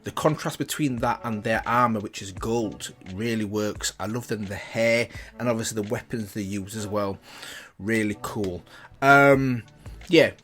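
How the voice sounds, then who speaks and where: A man's voice: lowish voice, unhurried, a bit bright. A man hosting a reaction video, commenting in a casual, informal, exclamatory way. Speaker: YouTube reaction host.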